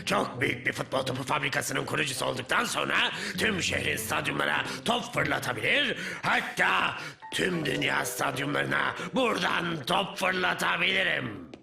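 Gravelly, pompous cartoon villain. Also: male voice, on the high side, normal speed, hardly any pauses, music in the background. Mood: gloating, boastful. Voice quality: gravelly, creaky. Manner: pompous, scolding. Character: bossy, boastful villain man with grandiose schemes. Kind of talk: children's cartoon dubbing.

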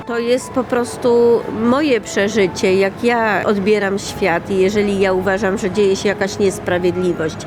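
Female interviewee, children's writer, mid-range voice, reflective answers over clear street-like noise. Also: mid-range voice. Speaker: children's author. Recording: clear urban ambient noise.

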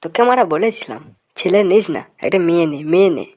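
A woman's voice: fairly low pitch, sing-song, fast, a little mellow. A woman voicing a grumpy, foul-mouthed village father in a comic cartoon, talking in blunt, scolding slang. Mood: exasperated. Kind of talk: comic Bengali cartoon dubbing.

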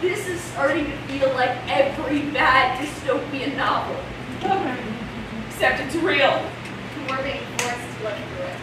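A very high, impassioned voice rings through an echoey hall, heard from far off. It is a woman on stage playing an indignant, anguished woman in crisis, delivering a theatrical monologue with heavy emphasis. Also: measured pace, background noise. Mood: indignant disbelief. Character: indignant, anguished women in crisis over lost rights. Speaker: stage actor. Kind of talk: theatrical monologue in a one-act play. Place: indoor stage before a seated audience. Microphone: distant camera.

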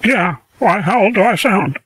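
A man's voice: sits high, lively intonation, normal speed, fairly bright tone, dry recording. A scambaiter playing a lonely, rambling old man who feigns confusion on the phone.

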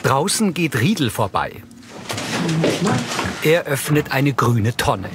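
A man reading scripted audio description for a TV crime drama, matter-of-fact and clearly articulated. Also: medium pitch, sing-song, slow, heavy background noise. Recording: TV soundtrack playing underneath. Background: loud music and vehicle sounds.

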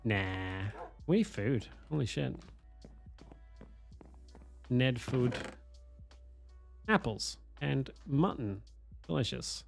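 A man, streaming a game from home on a headset, hoarse from a cold, swearing and joking with chat. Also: medium pitch, lively intonation, drawn-out words, long pauses, soft background music. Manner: swearing, self-mocking, chatting to viewers. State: hoarse, losing his voice from a cold. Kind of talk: casual live-stream chatter while playing.

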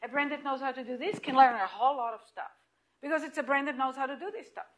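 A middle-aged woman with an Israeli accent, giving an animated lecture in a room, heard from a distant camera. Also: high voice, fast talker. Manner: animated and emphatic, with restarts and repeated points. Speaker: lecturer at hospital grand rounds. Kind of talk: lecture presentation.

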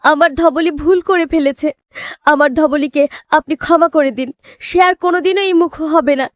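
A woman's voice: high voice, moderate pace, no echo, studio quality, lightly acted. A woman voicing a poor village girl in a fairy-tale cartoon, earnest, insistent and imploring. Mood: pleading. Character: pleading poor village girl, devoted to her cow.

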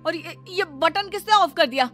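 A woman voicing a sharp, exasperated young husband. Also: very high voice, normal speed, soft background music. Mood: angry reproach. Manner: reproachful, complaining. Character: exasperated young husband, an office worker, quick to blame. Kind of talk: animated family drama voice acting.

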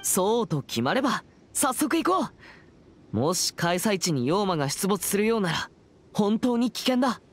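A man's voice: high voice, big pitch swings, normal speed, a little hiss or hum. A young man voicing a serious, earnest teenage boy exorcist in a Japanese anime game, with a formal, reserved and polite manner. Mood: earnest, eager. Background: background music.

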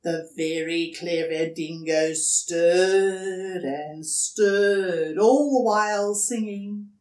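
An older woman reading a children's story aloud, deliberate, dramatic and expressive, in a living room. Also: fairly low pitch, reverberant, recorded from a distance. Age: older, 60s or above. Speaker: storybook reader. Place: indoors, furnished living room.

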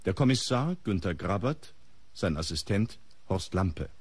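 A man with a husky voice reading radio drama credits as a formal, deliberate announcer. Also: low voice, expressive, no room sound.

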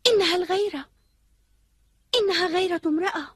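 Very high-voiced gentle princess. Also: female voice, fast, dry recording. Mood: sad. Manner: polite, plaintive, expressive. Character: gentle, polite young princess lost in the forest. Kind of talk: dubbed cartoon acting.

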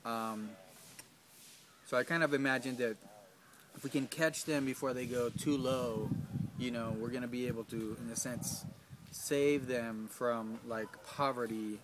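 A man's voice: mid-range voice, fairly even intonation, unhurried, a crowd in the background. A man in his thirties answering interview questions outdoors in a garden, hesitant, with many ums and restarts. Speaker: field staff.

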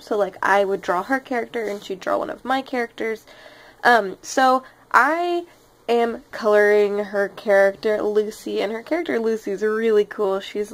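A young woman with a nasal, slightly sick-sounding voice, chatting casually and rambling with many ums and likes as she hosts her art channel. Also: medium pitch. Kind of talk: casual voice-over chat over a speed paint.

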